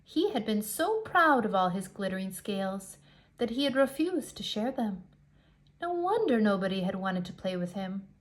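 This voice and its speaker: A woman reading a picture book aloud to young children in an expressive way, with deliberate dramatic emphasis. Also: mid-range voice.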